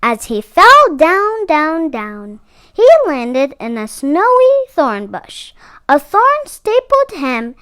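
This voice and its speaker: A woman's voice: high voice, very animated, takes it slowly, fairly bright tone. A woman narrating a children's picture book expressively, with dramatic phrasing. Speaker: read-aloud storyteller for children.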